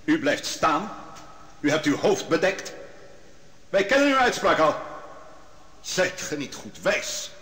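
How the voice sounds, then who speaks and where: An actor playing a young prophet who sharply questions witnesses and challenges a judge in an old radio drama. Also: male voice, a little high, sing-song, takes it slowly, pauses often, exaggerated voice. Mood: commanding. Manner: sharp, commanding, interrogating. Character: young prophet defending the accused, commanding. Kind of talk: 1960s Dutch radio drama. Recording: reverberant old radio recording.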